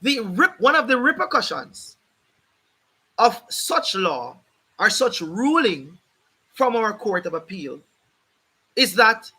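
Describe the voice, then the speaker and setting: A hoarse man hosting a livestream, explaining a court ruling in an emphatic, repetitive, lecturing way while addressing his viewers directly. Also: high voice, very animated, takes it slowly, pauses often, pushed and bright, poor audio. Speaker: host of a legal rights livestream. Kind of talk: livestreamed legal commentary.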